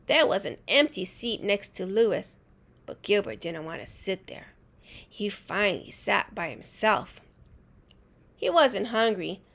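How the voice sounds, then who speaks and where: A woman reading a children's storybook aloud in an expressive, deliberate teacher's way. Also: medium pitch. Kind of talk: animated storybook read-aloud.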